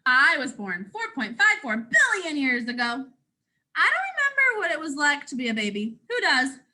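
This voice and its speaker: A woman's voice: sits high, sing-song, normal speed, sharp tone, full character voice. A woman with a North American accent voicing planet Earth as a proud, playful, ancient storyteller in a children's picture book, with dramatic emphasis. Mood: playful reminiscing.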